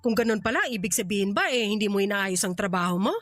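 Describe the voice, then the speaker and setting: A woman's voice: medium pitch, expressive, quick pace, a bit bright, no room sound, faint music behind, close to an everyday voice. A woman playing a critical, blunt middle-aged aunt in a radio drama, needling in a Filipino accent. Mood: critical, cutting. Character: sharp-tongued, critical aunt vetting her niece's suitor. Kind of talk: Tagalog radio drama acting.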